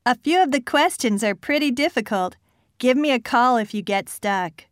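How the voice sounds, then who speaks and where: A woman voicing students, a professor and an office clerk in scripted exam dialogues, with a clear, polite delivery. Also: on the high side, expressive, normal speed, dry recording, studio quality.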